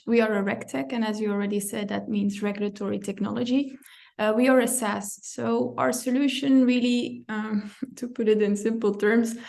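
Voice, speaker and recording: A woman from Belgium, a compliance director, talking through her work in a relaxed interview, often pausing and correcting herself with small laughs. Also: medium pitch, gravelly. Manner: thoughtful, self-correcting, light laughs. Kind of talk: conversational interview.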